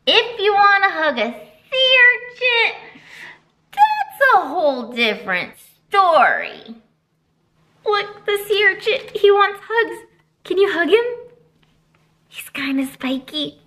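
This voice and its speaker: A woman in her thirties, a children's librarian, reading a storybook to kids and giving announcements in a sing-song voice from a library room on a webcam. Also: extremely high pitch. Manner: animated, sing-song teacher voice.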